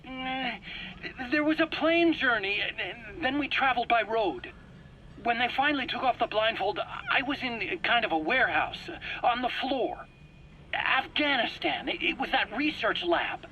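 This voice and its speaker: A man's voice: extremely high pitch, animated, a little slow, pushed and bright, lo-fi sound, heavily acted. An actor playing an anxious, self-pitying male scientist in a video game cutscene, pleading and stammering indignantly in his own defense. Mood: nervous recounting. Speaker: accused scientist under interrogation.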